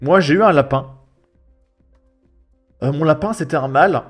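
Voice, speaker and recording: A man with a French accent and a fairly high voice reacts to a video in loose, colloquial speech. He is mocking and emphatic as he comments. Music plays softly behind him. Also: moderate pace, breathy edge. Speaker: host of a reaction video channel. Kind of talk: casual spoken commentary on a video. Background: background music.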